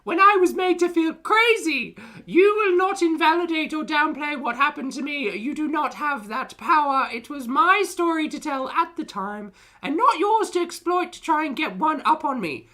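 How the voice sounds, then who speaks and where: A woman's voice: sits high, expressive, normal speed, few pauses, bright, forceful tone, voice pushed up. A woman voicing an indignant, self-righteous female vlogger in a declamatory, mock dramatic reading. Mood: wounded, defiant.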